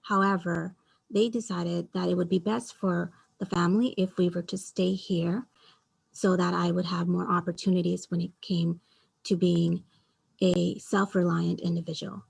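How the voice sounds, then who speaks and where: Woman panelist, gravelly husky voice, matter-of-fact, explanatory. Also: fairly low pitch, flat delivery, moderate pace, darkish tone, rough recording. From Bangladesh. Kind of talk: online panel talk, personal story.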